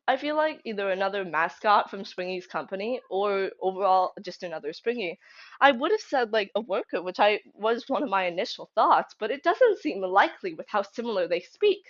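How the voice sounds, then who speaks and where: A young woman giving casual fan commentary, conversational and emphatic, thinking aloud as she goes. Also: medium pitch. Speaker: fan theory video creator. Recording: slightly dull lo-fi home recording.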